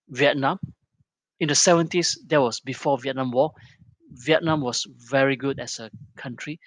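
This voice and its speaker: A man's voice: mid-range voice, a bit bright, dry recording, low-quality recording. Earnest man in his fifties. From Malaysia. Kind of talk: personal opinion monologue.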